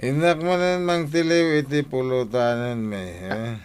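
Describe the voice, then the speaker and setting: A man's voice: medium pitch, fairly even intonation, unhurried, clear, resonant tone, natural voice. A man playing a lazy, evasive drunkard son in an Ilocano comedy radio drama, whining and complaining with an Ilocano accent. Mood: groggy, irritated.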